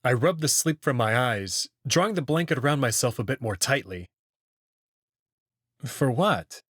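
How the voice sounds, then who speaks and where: Male narrator, scripted visual novel storytelling, lively intonation, mid-range pitch, dry recording. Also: a little fast, no echo. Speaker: host and narrator of a visual novel reading series.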